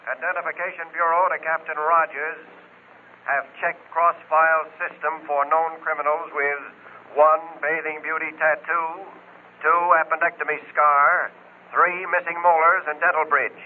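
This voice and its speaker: An actor playing an impersonal police dispatcher reading a bulletin in clipped, telegraphic phrases in a 1940s radio drama. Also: male voice, on the high side, little pitch movement, a little slow, close to an everyday voice. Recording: scratchy, tinny old-time radio recording.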